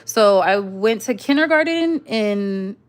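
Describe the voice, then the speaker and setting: A woman's voice: mid-range voice, expressive, slow, clean tone. A young woman from the southwestern United States chatting casually on a livestream at home, rambling along in slangy, informal talk. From southwestern United States (Arizona and Las Vegas). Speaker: livestream host.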